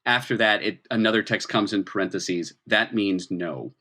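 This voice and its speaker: Game master, male, bright and forceful tone, casual improvised narration with a halting delivery. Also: lowish voice.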